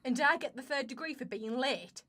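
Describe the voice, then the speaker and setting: A woman's voice: high-pitched, animated, moderate pace, bright, forceful tone, dry recording, heavily acted. A woman acting a sulky, sarcastic winter spirit in a comedic radio drama, complaining and easily offended. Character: Winter, sarcastic sulky female season, quick to take offence.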